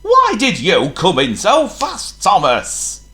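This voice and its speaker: A man voicing a stern, portly male railway boss who booms and scolds. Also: huge pitch swings, moderate pace, a bit bright. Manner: booming, scolding. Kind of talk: storybook character voice.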